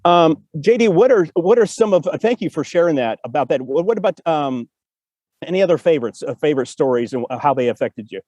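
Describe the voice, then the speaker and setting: A man's voice: a little high, lively intonation, a little fast, a little mellow, no echo. A man hosting a casual conversation, telling personal stories in an earnest, emotive way. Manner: earnest and emotive, acts out remembered dialogue. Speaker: podcast host and audio drama writer.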